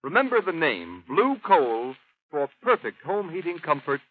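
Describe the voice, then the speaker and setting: Male 1930s radio pitchman: animated inflection, emphatic persuasion, raised register, tinny period sound. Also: measured pace. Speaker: radio announcer.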